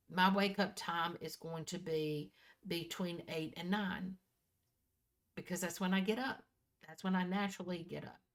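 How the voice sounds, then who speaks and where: Lower-voiced woman in her sixties chatting. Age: early 60s. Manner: folksy, candid, repeats and corrects herself. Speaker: vlogger. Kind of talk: casual personal chat to viewers.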